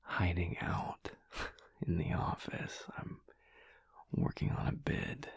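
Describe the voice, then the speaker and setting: A man playing a teasing, affectionate werewolf husband in an intimate audio roleplay, murmuring in a breathy, husky whisper. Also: sits low, huge pitch swings, no echo, full character voice. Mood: relaxed, murmuring. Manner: slow, murmured, playful delivery with long pauses. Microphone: close mic, dry.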